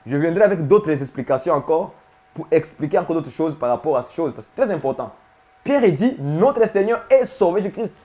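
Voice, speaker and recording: Male preacher with a high voice; insistent, repetitive teaching style, asks questions. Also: expressive, fairly quick, mellow. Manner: emphatic, repetitive, questions the listeners. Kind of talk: sermon teaching to viewers.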